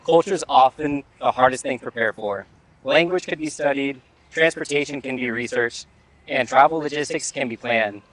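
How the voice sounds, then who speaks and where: A man's voice: medium pitch, fairly bright tone, poor audio. A man presenting an educational video, narrating and asking curious questions. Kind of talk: scripted narration and on-site questions.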